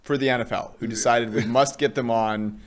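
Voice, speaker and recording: A man's voice: mid-range voice, fairly even intonation, moderate pace. A man co-hosting a casual football podcast. He moves the talk along with dry, teasing asides and direct questions to his partner. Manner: wry, teasing, steers the talk. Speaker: co-host. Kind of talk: casual sports chat.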